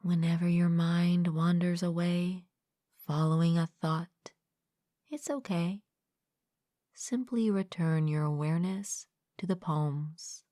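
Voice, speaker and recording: A woman leading a guided meditation as a mindfulness coach, speaking gently and calmly in a soft, breathy, partly whispered voice, close to the mic. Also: on the low side, no echo. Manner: gentle, calm, unhurried with long pauses. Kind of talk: guided meditation, soothing scripted narration.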